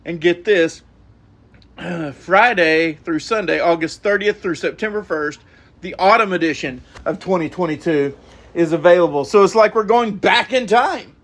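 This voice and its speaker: A man's voice: high voice, normal speed, a bit bright. A man hosting a podcast, reading announcements casually with ums and goofy asides. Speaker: podcast host.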